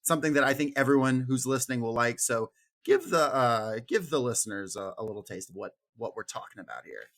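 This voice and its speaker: A man's voice: medium pitch, animated, a little fast, a bit bright. A man hosting a podcast, chatting casually and jokily with some swearing. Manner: informal, jokey. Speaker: podcast host. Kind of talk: casual chat with profanity.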